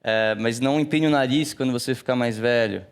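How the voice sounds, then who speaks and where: Man, Brazilian accent, 30s, casual interview talk, relaxed, filler-heavy. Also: medium pitch, fairly quick, clean tone. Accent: Brazilian Portuguese. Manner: relaxed, many fillers like "né" and "assim". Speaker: musician guest.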